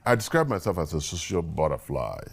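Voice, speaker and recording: A man with a very deep, gravelly voice talks in a casual interview. He sounds earnest and often circles back over his words while he thinks. A husky edge runs through his speech. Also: sing-song, brisk. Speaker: guest.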